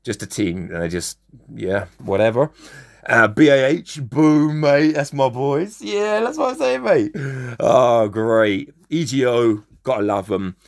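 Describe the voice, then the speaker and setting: A man with a hoarse, fading voice, chatting casually as he comments on a mobile strategy game and gives friendly shout-outs. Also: mid-range voice, lively intonation, unhurried. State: losing his voice, hoarse. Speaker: gaming channel host.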